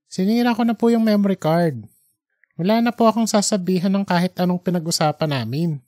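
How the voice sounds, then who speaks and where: A man's voice: high-pitched, a little fast, darkish tone, no room sound, clean studio sound, pitched above the performer's own voice, natural voice. A man voicing an earnest young reporter who speaks politely and hesitantly, pleading with his boss in an audiobook story. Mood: apologetic, pleading.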